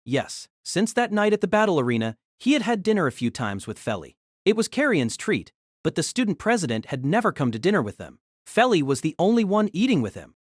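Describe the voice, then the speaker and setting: Expressive novel narrator, lively pitch. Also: a little fast, no echo, studio quality.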